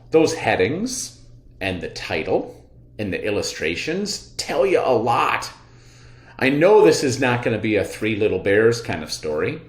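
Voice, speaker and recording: A man teaching a read-aloud lesson for young children, explaining things expressively like an elementary teacher. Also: mid-range voice. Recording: echoey room sound.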